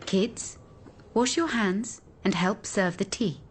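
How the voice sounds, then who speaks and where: An actress playing a serene, courteous and oddly ageless housewife in a radio drama. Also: female voice, mid-range voice, slow pace, slight background noise, lightly acted. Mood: hospitable. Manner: placid, formal, courteous. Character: serene, polite, uncannily ageless housewife and mother.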